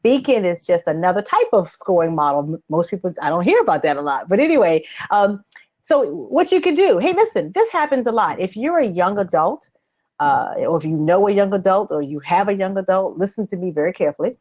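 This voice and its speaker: A woman in her late forties hosting a live advice webcast from a home office, answering questions in a chatty, animated, conversational way. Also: mid-range voice, a little fast. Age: late 40s. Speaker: host, credit coach. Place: small home office, indoors. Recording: dull lo-fi webcam audio.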